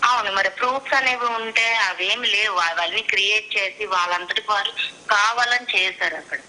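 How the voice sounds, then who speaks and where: A woman's voice: medium pitch, normal speed, sharp tone, slight background noise. A young woman on a recorded phone call, recounting events in a plain, insistent way. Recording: tinny phone line recording.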